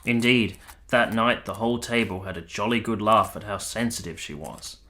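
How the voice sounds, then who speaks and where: An earnest army captain in a literary radio play. His voice sits low with a bright, firm edge. He is formal, reserved and measured, like someone carefully recounting his memories. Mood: somber, reflective. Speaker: narrator and lead character. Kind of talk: literary period radio drama narration.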